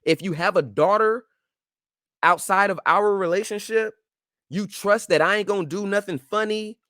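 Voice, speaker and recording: A man's voice: sits high, moderate pace, bright, forceful tone, dry recording, studio quality. A man hosting his own show, giving casual, slang-filled advice in an emphatic, preachy way and talking straight to his listeners. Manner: informal slang-filled speech. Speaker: show host.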